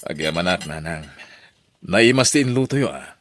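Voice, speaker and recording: An actor playing a skeptical, protective adult son with an Ilocano accent in a radio drama. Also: male voice, deep voice, expressive, moderate pace, a bit bright. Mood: casual, appreciative. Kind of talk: Filipino radio drama acting.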